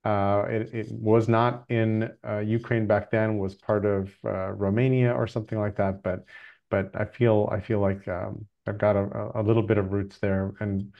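A man hosting a group video call, talking casually in a measured, reflective way. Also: lowish voice, flat delivery, vocal fry. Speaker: call host. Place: video call from a room indoors. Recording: slightly dull video-call audio.

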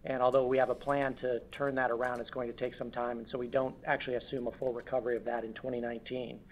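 A man's voice: medium pitch, level tone, fast, slight background noise. A man speaking as a finance executive on an earnings call, choosing words carefully and hesitantly. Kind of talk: earnings call answer, business commentary. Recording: dull lo-fi conference call audio.

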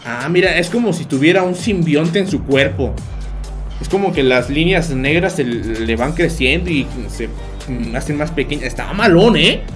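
A man's voice: on the high side, expressive, moderate pace, faint music behind. A young man with a Mexican accent, livestreaming a game and chatting with viewers, joking and laughing often as he tells stories. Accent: Mexican Spanish. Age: young adult. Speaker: streamer. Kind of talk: live game stream chat. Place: small bedroom.

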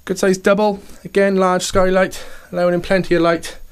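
Upbeat estate agent man, slightly high voice. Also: flat delivery, brisk, clear, resonant tone. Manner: upbeat sales patter, keeps saying "okay" and "fantastic". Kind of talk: property tour walkthrough.

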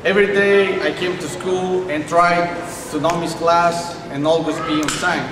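A man's voice: fairly high pitch, distant mic, noisy background. A young man, a learner, gives a prepared speech earnestly and carefully, with some restarts. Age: young adult. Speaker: English language student giving a speech. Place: small classroom indoors, at night. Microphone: room microphone at a distance. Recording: echoey room, picked up from afar.

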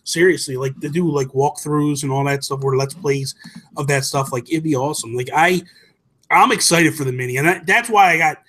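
An American man with a slightly high voice talks casually about games as a podcast co-host. He is emphatic and often repeats himself to drive a point home, speaking close to a desk mic. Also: brisk, a bit bright. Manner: emphatic, repeats phrases for stress. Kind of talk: casual gaming chat. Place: small home room, indoors. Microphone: desk microphone close up, headset.

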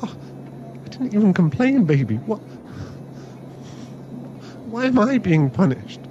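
A man's voice: high-pitched, very animated, takes it slowly, warm tone, heavily acted. A man playing a nervous, grumbling friend in an ASMR audio drama, hesitant and resigned to being bossed around. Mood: indignant. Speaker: voice actor in an audio roleplay. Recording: dull sound, clear cabin noise. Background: vehicle and cabin ambience.

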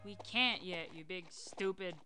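Slow, hesitant little demon girl, acted by a woman. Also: lowish voice, bright, forceful tone, faint music behind. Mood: wry unease. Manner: hesitant, stammering. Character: small purple demon girl, nervous, wry outcast.

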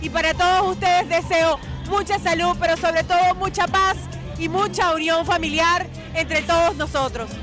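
A woman's voice: very high voice, steady tone, moderate pace, crowd noise. A woman giving gracious celebratory remarks as a guest at an outdoor event, with music behind her. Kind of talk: short celebratory interview remarks. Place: outdoors at a night park festival. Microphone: handheld microphone.

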